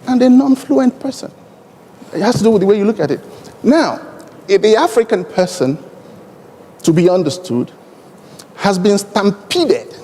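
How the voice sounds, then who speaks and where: An airline executive holding forth at an African aviation conference: a Nigerian man, native Igbo speaker, deliberate and emphatic, his gravelly voice ringing through a big hall. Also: high-pitched, dark tone, slight background noise. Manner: deliberate, emphatic, uses elaborate formal phrasing. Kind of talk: conference panel speech. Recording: long hall reverb from conference PA.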